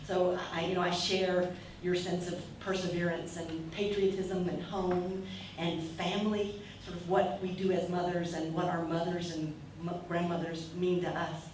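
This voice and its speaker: A middle-aged woman with an American accent speaks reflectively, pausing on soft fillers. Her voice is on the lower side and rings in a very echoey function room. The camera is far off, with room noise behind. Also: flat delivery. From United States. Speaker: guest speaker. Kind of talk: impromptu speech to a gathering. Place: function room at a breakfast event. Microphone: handheld mic, far camera.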